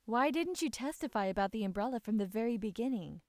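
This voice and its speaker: A woman voicing a determined, confident young female defense lawyer, assertive and deliberate, in a courtroom fan dub. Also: on the high side, animated, no room sound, clean studio sound. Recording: music behind the voice.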